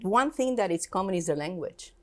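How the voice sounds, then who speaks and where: A woman's voice: fairly low pitch, animated, brisk, darkish tone. A woman from Puerto Rico with a Puerto Rican Spanish accent, a Spanish native speaker, talking earnestly as an interview guest. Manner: earnest, emphatic, slightly non-native grammar. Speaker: education institute director, guest. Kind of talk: casual interview conversation.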